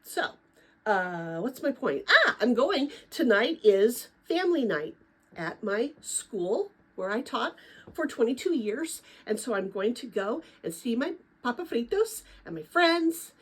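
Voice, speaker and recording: An older woman speaks in an informal, chatty read-aloud style, like a teacher with her class. Her voice sits fairly high and is noticeably husky. She moves her pitch around a great deal. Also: measured pace, poor audio. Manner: chatty, animated, rambling with personal asides. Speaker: retired teacher reading a children's book aloud.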